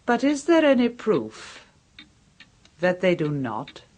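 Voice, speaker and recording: Ominous, low, portentous speaker. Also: female voice, huge pitch swings, slow, a little mellow, full character voice. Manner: portentous, dramatic. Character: ominous woman warning of monstrous revenge. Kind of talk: radio horror acting.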